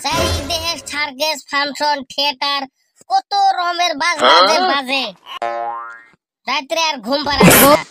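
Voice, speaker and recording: High-pitched whiny cartoon grandson. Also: male voice, steady tone, moderate pace. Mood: whiny, grumbling. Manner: complaining, slangy, mouthy. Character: whiny, cheeky broke young grandson, single and grumbling. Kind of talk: amateur comic cartoon dubbing. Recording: loud music behind the voice.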